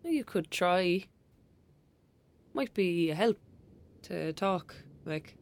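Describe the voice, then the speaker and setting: A woman's voice: lowish voice, expressive, takes it slowly. A woman with an Irish accent playing a cheeky, protective young best friend in a naturalistic audio drama, youthful, colloquial and emphatic. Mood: concerned, coaxing.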